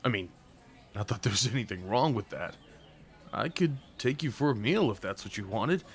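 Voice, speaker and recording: A man's voice: on the low side, animated, normal speed, faint music behind. A young man acting a shy, sweet, apologetic date in an ASMR roleplay, talking hesitantly to the listener. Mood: flustered, apologetic. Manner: hesitant, apologetic, rambling, sighs and nervous chuckles.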